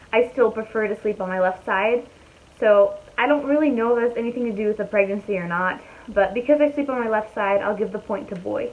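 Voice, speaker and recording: A young woman talking casually to her viewers from home, her voice slightly raspy and hoarse, chatty and easygoing as she thinks aloud. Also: on the high side, highs cut off. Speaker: vlogger. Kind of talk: casual chat to camera. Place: at home, indoors.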